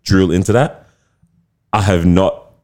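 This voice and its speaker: Casting: young male podcast host, very low voice, relaxed and slangy. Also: slow pace. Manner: laid-back slangy talk, says bruv and fam. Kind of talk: casual chat.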